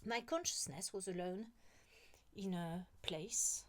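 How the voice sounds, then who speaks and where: A middle-aged woman talking slowly and rambling to her viewers, often trailing off into a whisper. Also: medium pitch. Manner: slow, rambling, musing, often drops to a whisper. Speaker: vlogger. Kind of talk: casual monologue to camera.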